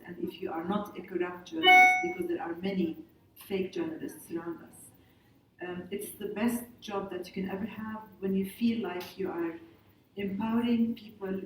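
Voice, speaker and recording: A woman journalist from Jordan, telling her story on a conference panel in short, emphatic sentences. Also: mid-range voice. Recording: very echoey hall, distant mic, faint noise.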